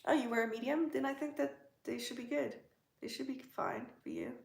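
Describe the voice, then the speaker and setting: A woman's voice: on the high side, huge pitch swings, a little fast, vocal fry, roomy sound. A woman hosting a casual livestream, chatting and teasing as she answers viewers' comments from home. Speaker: livestream host.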